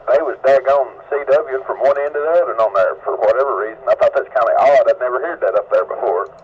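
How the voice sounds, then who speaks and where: A man's voice: medium pitch, fast talker. Dull radio audio with faint noise carries an older man with a Southern US accent. His voice sits in the middle range, and he chats along in a rambling, folksy manner. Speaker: amateur radio operator. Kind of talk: casual rambling ham radio chat.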